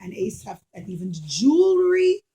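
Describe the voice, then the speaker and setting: A high-voiced woman tells a story to children. She uses simple, emphatic phrasing and addresses her young listeners directly, like a teacher. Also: big pitch swings, normal speed, warm tone. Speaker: children's puppet show storyteller. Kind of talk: animated storytelling for children, asking questions.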